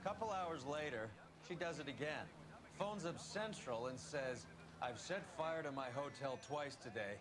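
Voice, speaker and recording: An actor playing a wisecracking, cynical 1940s police detective in a video game, with a dry, sardonic way of talking. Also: male voice, on the high side, lively intonation, measured pace, noisy background. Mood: amused. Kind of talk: video game dialogue acting. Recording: game music behind.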